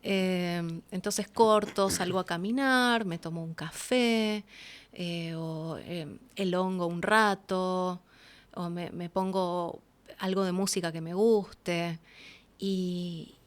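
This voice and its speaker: Expressive Argentine woman in casual conversation. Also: on the low side, takes it slowly, clear, resonant tone. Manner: expressive, acts out little quotes as she explains. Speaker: interview guest, voice actress. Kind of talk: casual reflective interview conversation.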